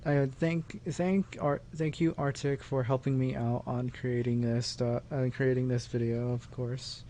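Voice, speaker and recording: A man hosting a channel, giving a casual unscripted thank-you in a halting, repetitive, rambling way. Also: medium pitch, little pitch movement, dark tone, a little hiss or hum. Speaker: channel host and dub producer.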